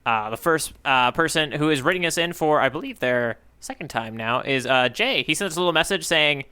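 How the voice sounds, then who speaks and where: A man's voice: fairly high pitch, lively intonation, fairly quick, bright, forceful tone, clean tone. A man co-hosting a casual podcast, talking in a playful way with rambling asides. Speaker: podcast co-host. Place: remote online podcast recording.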